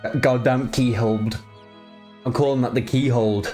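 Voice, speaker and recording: A man's voice: mid-range voice, steady tone, measured pace, mellow. Streamer guy chatting over a video, mid voice, dry jokes, faint music under him. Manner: wry, chatty, laughing asides. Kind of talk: casual reaction commentary.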